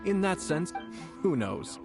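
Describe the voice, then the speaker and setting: A man's voice: high voice, big pitch swings, heavily acted. A man playing a composed, urbane young clan lord in a video game, speaking politely and formally with subtle teasing. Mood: diplomatic, sly. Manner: polite, measured, formal, smooth-talking. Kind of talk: video game dialogue acting. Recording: soft music behind the voice. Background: faint game music.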